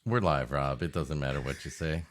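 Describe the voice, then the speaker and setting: A man with a low voice co-hosts a comedy podcast. He improvises jokes and tangents in a dry, sardonic manner, keeping the banter casual. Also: normal speed. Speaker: podcast co-host.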